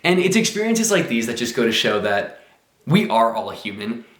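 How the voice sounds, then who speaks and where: A man's voice: mid-range voice, very animated, moderate pace, fairly bright tone. A man chatting to camera about his travels, eager and full of exclamations. His voice sits in a middling register and rings in a roomy, echoey space. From United States. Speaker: travel vlogger. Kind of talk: casual travel vlog talk to camera. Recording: roomy echo.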